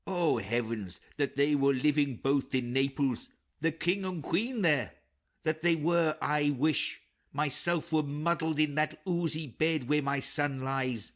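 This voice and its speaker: A man's voice: fairly high pitch, slow, fairly bright tone. A man playing a grieving, earnest old king in a dramatic Shakespeare audiobook, with a theatrical, declamatory delivery. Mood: anguished.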